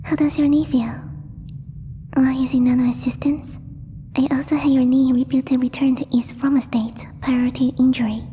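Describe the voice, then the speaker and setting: A woman voicing a gentle, timid alien girl in a soft, breathy ASMR roleplay, speaking formally and haltingly as she searches for words. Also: high voice, little pitch movement, natural voice. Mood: tender, concerned. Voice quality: breathy, whispery. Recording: long reverb, faint background noise.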